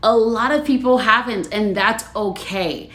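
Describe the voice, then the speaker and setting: A woman's voice: fairly high pitch, a little slow, fairly bright tone, reverberant. A woman hosting a casual livestream, chatting with viewers, laughing often and reading their comments aloud. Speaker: livestream host.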